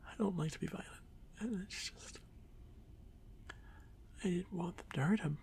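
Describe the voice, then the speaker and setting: A man voicing a shy, haunted drider monster boy in an ASMR fantasy roleplay, stammering softly and often slipping into breathy whispers. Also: high-pitched, normal speed, a little hiss or hum. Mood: tearful, ashamed. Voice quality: soft, breathy, creaky.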